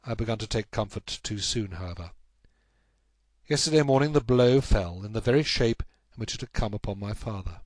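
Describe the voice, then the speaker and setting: A man voicing an anxious, helpless young gentleman in a storybook, recounting his troubles in an earnest, measured, formal way. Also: lowish voice, expressive, no room sound, lightly acted. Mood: grave, uneasy. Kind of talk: audiobook character acting.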